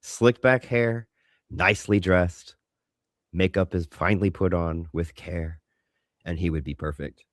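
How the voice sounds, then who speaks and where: A man's voice: low-pitched, fairly even intonation, a little slow, no room sound, studio quality. A man co-hosting a podcast in casual pop-culture banter, speaking emphatically and with casual swearing. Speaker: podcast co-host.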